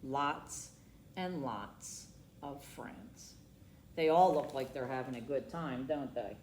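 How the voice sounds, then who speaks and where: A woman's voice: extremely low pitch, slow, a little mellow, slight background noise. A woman reading a picture book aloud for children at storytime, theatrical and leaning on the colourful words. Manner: theatrical, emphasizing alliterative words. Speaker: library storytime reader. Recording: roomy, echoing sound.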